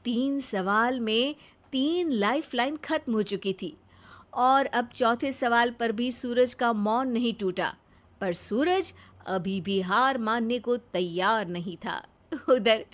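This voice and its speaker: High-voiced, unhurried woman storyteller. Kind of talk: scripted comic story narration.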